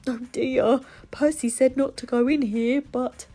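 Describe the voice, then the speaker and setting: A woman's voice: high-pitched, fairly even intonation, measured pace, dark tone, soft background music, pitched above the performer's own voice. A woman voicing an anxious, sulky, clumsy young male fox for a children's storybook, in short, flustered, strained bursts. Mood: flustered, panicky.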